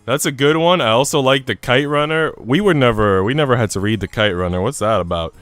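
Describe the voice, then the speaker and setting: A man's voice: medium pitch, fairly quick, fairly bright tone, no echo, faint music behind. A man live streaming a game, chatting casually in a slangy, profane, joking way. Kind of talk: casual chat while streaming a visual novel.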